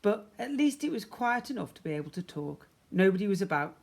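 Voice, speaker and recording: A man reads a children's story aloud in a teacherly way. His delivery is expressive, with emphatic phrasing suited to young listeners. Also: high voice, a little fast, gravelly. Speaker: primary school teacher.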